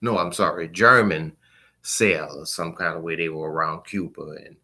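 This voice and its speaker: A man talking casually and informally as a book reviewer, in a halting, rambling way that trails off at the ends of phrases. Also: low voice, steady tone, sharp tone.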